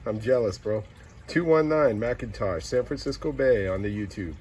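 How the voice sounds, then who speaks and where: A man's voice: medium pitch, moderate pace. Man calling out on noisy CB radio. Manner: slangy, repeats clipped callsigns and numbers. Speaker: CB radio operator. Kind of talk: casual CB radio skip talk, calling callsigns. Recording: loud radio static and noise.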